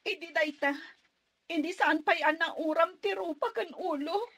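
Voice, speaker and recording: A woman's voice: very high voice, moderate pace, a bit bright. An actress with a Filipino accent playing a despairing, withdrawn young woman, plaintive, in a radio drama.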